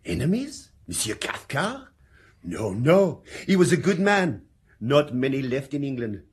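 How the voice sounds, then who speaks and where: An actor playing an effusive, mournful French concierge in a British radio drama, with a husky voice and French accent. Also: male voice, medium pitch, big pitch swings, measured pace, exaggerated voice. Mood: mournful, earnest. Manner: effusive, lamenting, slipping into French.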